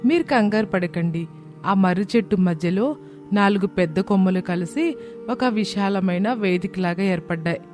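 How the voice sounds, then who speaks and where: A man's voice: sits high, normal speed, a little mellow, lightly acted. A man voicing a brave young daughter-in-law and mother in a cartoon moral story, determined and reassuring. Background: background music.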